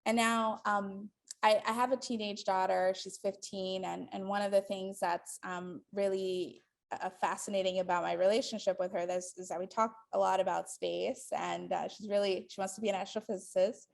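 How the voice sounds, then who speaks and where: Gravelly-voiced woman lecturing thoughtfully. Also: medium pitch, steady tone, normal speed. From Dominican Republic. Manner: thoughtful, many ums and restarts. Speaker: guest lecturer. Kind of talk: lecture to a live audience.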